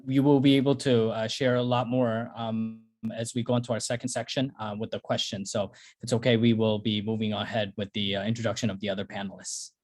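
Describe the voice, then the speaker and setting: A man's voice: on the low side, little pitch movement, brisk, a little mellow. Hesitant male panel moderator. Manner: hesitant, many fillers. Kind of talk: introducing speakers.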